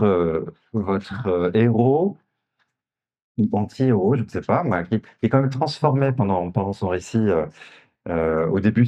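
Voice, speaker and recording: A man's voice: fairly low pitch, dark tone, lo-fi sound. A man, an author at a literary festival talk, explaining a novel and asking questions with frequent hesitations and restarts. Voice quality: hesitant, many fillers and restarts. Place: festival conference room.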